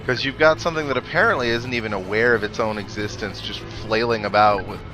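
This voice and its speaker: A man with a fairly low voice hosts a video game playthrough. He reads the game's text aloud and drifts into rambling, musing asides, with game music playing clearly behind him. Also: fast, low-quality recording. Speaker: let's play host.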